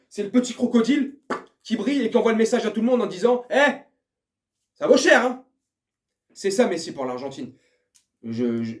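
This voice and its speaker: A man's voice: high voice, a little mellow. A man giving a casual, slangy football rant to his viewers, swearing and speaking to them as a friend. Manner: slangy, profane, addresses viewer familiarly. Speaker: football vlogger.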